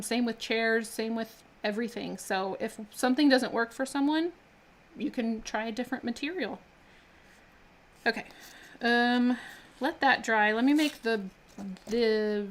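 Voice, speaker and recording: A woman chatting casually while she builds miniatures on a live stream, laughing often and talking with many fillers and restarts. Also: a little high. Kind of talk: casual chat while crafting.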